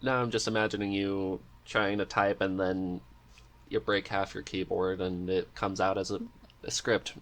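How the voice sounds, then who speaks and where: A man with a somewhat low voice hosts a podcast interview. His delivery is casual and conversational, like a relaxed chat between two people. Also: moderate pace. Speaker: podcast host.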